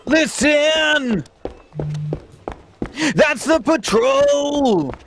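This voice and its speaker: Man acting a high-voiced, defiant young rebel. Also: expressive, extremely slow, vocal fry, fairly bright tone, slight background noise, exaggerated voice. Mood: urgent. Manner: bold, dramatic, scornful. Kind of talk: sci-fi radio play acting.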